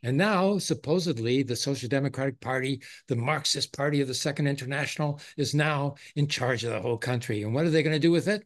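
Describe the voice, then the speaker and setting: A man's voice: medium pitch, fast talker, few pauses. An older man, in his sixties or beyond, hosting a casual political discussion over a video call. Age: 60s or older. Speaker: discussion host. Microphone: video call microphone.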